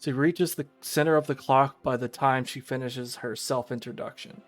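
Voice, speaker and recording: Visual novel narrator: streamer, mid-pitched voice, casual storytelling read, faint music behind. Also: fairly even intonation, moderate pace.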